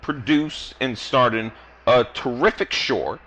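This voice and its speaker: A man's voice: mid-range voice, takes it slowly, bright, forceful tone, slight background noise, low-quality recording. A man co-hosting a podcast, playfully asking a guest questions. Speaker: podcast co-host. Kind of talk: casual podcast interview.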